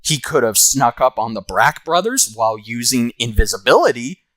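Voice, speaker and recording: A man's voice: medium pitch, lively intonation, moderate pace, fairly bright tone, no room sound. A man hosting and narrating a scripted comic book review.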